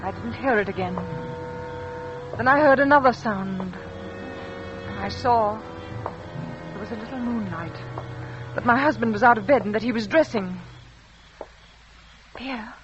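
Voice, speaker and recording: An actress playing an anxious, devoted young wife haunted by fear, hesitant and questioning, in an old radio drama. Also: female voice, on the high side, measured pace, long pauses, music in the background, close to an everyday voice. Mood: uneasy. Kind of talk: 1940s radio drama acting. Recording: dull old radio recording.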